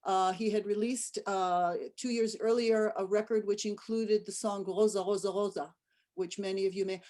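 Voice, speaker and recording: A woman of middle pitch talks through a music presentation in an easy, conversational way. She restarts phrases and drops in little fillers as she explains the songs to her listeners. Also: steady tone, normal speed, dark tone. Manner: chatty, with frequent fillers and restarts. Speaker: music director giving a talk. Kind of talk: casual online lecture.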